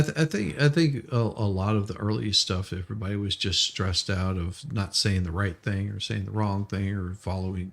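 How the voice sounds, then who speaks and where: A man's voice: on the low side, creaky, a bit bright. A man chatting casually as a live stream co-host, thinking aloud and repeating words as he goes. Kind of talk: casual chat.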